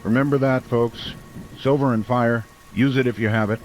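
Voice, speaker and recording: A male actor plays a small-town radio host broadcasting through a disaster. His medium-pitched delivery is grave and urgent, like an emergency announcer, with a faint rain-like noise around it. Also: steady tone, moderate pace, darkish tone, natural voice. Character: steady small-town American male late-night radio host during werewolf siege. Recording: noisy, with rain-like hiss behind.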